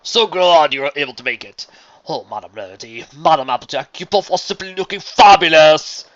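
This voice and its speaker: Man acting out a grandiose gentleman horse, effusive, flattering, theatrical, bright, very animated, storybook character. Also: a little high, brisk, dull audio, pitched above the performer's own voice. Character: grandiose, jovial stallion exposition organizer, businesslike yet flattering.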